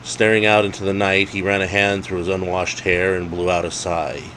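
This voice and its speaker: A man's voice: sits low, little pitch movement, normal speed, a bit bright, a little hiss or hum, poor audio. A man reading his own comedic ghost story aloud with a wry, dramatic storyteller's delivery.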